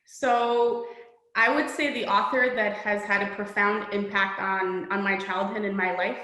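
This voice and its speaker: A middle-aged woman author from Poland speaks in an earnest, reflective, measured way, her voice fairly flat in pitch. The recording has a noticeably echoey room sound. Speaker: children's book author. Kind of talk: reading aloud, then interview answers.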